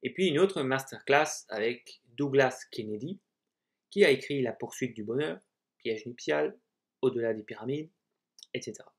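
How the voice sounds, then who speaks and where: Male author, 30s, speaks frankly through his slides, mid pitch. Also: normal speed, vocal fry. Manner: candid, conversational, with fillers. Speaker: author giving a writing talk. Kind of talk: slide presentation.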